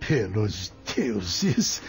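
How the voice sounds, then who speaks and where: Effusive, animated Roman nobleman. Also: lowish voice, drawn-out words, faint music behind, heavily acted. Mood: surprised courtesy. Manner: theatrical, exclaiming. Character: courteous old Roman nobleman, effusive and curious. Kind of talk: radio drama acting.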